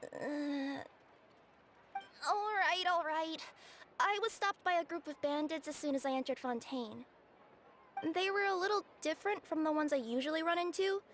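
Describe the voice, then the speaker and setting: Young female yokai courier, high-pitched, earnest, flustered, apologetic, anime game acting. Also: moderate pace, fairly bright tone, a little hiss or hum. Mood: evasive.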